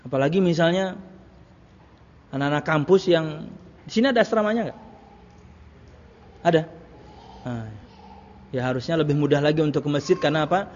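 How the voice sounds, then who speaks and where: High-voiced preacher in a reverberant hall. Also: male voice, moderate pace, pauses often, a little mellow, a little hiss or hum. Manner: conversational, repeats phrases and asks rhetorical questions. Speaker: Islamic preacher. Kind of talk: religious lecture to a congregation, with anecdotes. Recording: muffled, reverberant hall recording.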